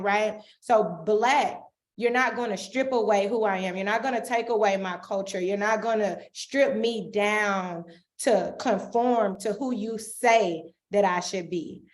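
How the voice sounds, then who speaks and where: Woman on a student panel, talks with emphasis, keeps asking "right?", medium pitch. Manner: emphatic, rhetorical, repeats "right?". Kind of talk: panel remarks.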